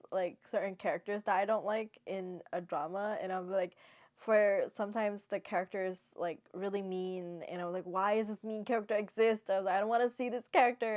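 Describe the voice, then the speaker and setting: A woman vlogger giving a casual, chatty drama review, animatedly retelling and acting out her reactions. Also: mid-range voice.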